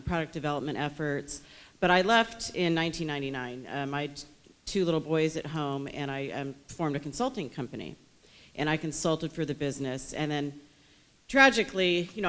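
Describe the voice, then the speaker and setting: Low-voiced, upbeat middle-aged businesswoman. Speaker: business owner, panelist. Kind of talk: panel talk.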